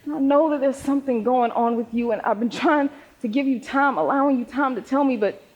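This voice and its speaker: A woman playing a grieving, vulnerable young student devoted to her grandmother, in a stage play, with a husky voice. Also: high-pitched, fairly quick, a little mellow. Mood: anxious, distressed. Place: theater stage in a reverberant hall. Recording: muffled echoey stage hall recording.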